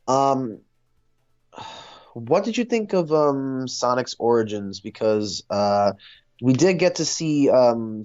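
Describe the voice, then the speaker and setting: A man hosting a casual film discussion, speaking in a hesitant, halting way. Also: medium pitch, darkish tone, no room sound, lo-fi sound.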